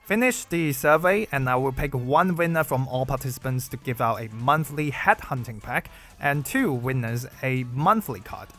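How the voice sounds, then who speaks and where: A young man from China with a Chinese accent, a Mandarin native speaker, reading out a Q&A video in a measured, careful way. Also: medium pitch, animated, sharp tone, faint music behind. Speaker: gaming YouTuber. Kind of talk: read Q&A video narration.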